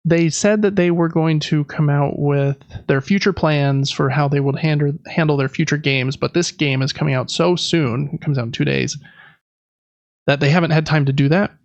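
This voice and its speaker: A man's voice: fairly high pitch, fairly even intonation, moderate pace, no echo, muffled recording. A man co-hosting a podcast, talking through news casually in an informal, rambling, self-correcting way. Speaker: podcast co-host. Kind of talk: casual gaming news chat.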